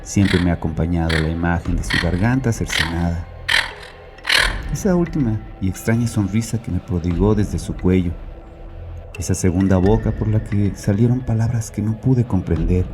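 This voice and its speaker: An actor playing a tormented, fanatical murderer in hiding, in a solemn, brooding audio drama monologue. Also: male voice, low-pitched, lightly acted. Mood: haunted, confessional. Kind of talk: dramatic first-person audio story monologue. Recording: echoey, distant, music behind.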